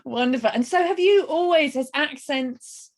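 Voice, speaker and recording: A woman's voice: sits high, normal speed. Enthusiastic woman hosting an interview. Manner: enthusiastic, encouraging reactions. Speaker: webinar host, interviewer. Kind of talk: casual interview chat.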